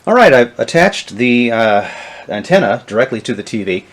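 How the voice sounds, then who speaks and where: A middle-aged man, talking casually and haltingly with many fillers as he shows off old electronics. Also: mid-range voice, very animated. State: has a cold, sniffly. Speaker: vintage electronics video host. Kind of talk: casual show-and-tell narration.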